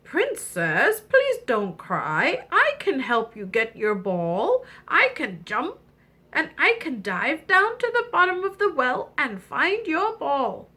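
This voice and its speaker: A woman voicing a lonely, kindly male storybook frog who croaks and draws out his words. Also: high voice, wildly swooping pitch, bright, forceful tone, full character voice. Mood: gentle, consoling. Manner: croaks "ribbit", slow drawn-out words.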